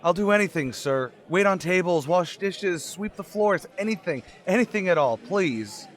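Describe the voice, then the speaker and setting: A man plays a down-on-his-luck young father in an old-time radio drama. His voice sits fairly high for a man, earnest and halting, and it pleads with real desperation. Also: animated. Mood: pleading.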